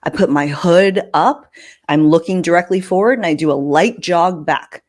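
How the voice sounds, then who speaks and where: A woman's voice: fairly low pitch, moderate pace. A woman hosting a podcast, telling personal stories casually with emphatic delivery and joking asides. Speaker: podcast host. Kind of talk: casual podcast chat, anecdotal storytelling.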